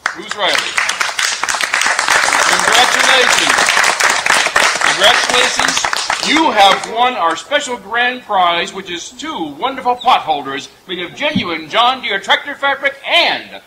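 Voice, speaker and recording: A man works as master of ceremonies for an old-time radio show, projecting showman's patter. His voice is high and lively, recorded at an outdoor stage with a clear crowd and noise. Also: measured pace. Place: outdoor night stage in woods, live audience.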